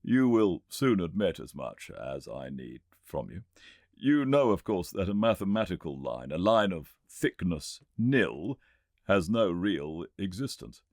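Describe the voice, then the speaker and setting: A man voicing an earnest, learned Victorian gentleman inventor in an audiobook, with a British accent and an emphatic, formal, didactic delivery. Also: deep voice, sing-song, vocal fry, no echo, studio quality, pitched above the performer's own voice, full character voice. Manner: emphatic, didactic, formal, deliberately pointed phrasing. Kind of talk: audiobook character reading.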